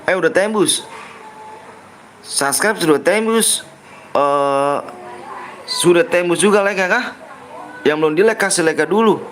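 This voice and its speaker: A man's voice: sits high, a little slow, long pauses, a bit bright, slight background noise. A young man live-streaming a game, reading viewer comments aloud and bantering with them, joking about himself and urging everyone to like and subscribe. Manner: playful joking persona. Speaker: game livestreamer. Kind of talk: casual live-stream chat.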